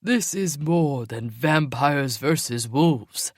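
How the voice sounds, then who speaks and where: A woman's voice: a bass voice, slow pace, a little mellow, dry recording, clean studio sound, exaggerated voice. A woman voicing an older male wolf in an audiobook, speaking earnestly as he argues. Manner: earnest, arguing. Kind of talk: audiobook character voice.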